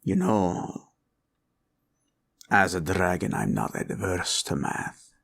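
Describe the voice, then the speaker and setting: Greedy villain dragon for asmr roleplay, super slow and creaky, menacing, grumbly, haggles over gold. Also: male voice, low voice, sing-song, fairly bright tone, full character voice. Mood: grudging, haggling. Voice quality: gravelly, creaky. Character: greedy, menacing, gloating male green dragon hoarding gold. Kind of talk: roleplay audio villain acting.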